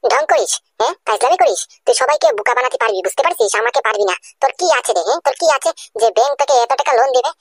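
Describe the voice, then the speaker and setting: A woman's voice: high-pitched, lively intonation, rapid-fire, hardly any pauses, husky. A woman voicing a haughty rich cartoon woman who scolds and taunts with repeated questions. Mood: scornful. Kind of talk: amateur cartoon dialogue.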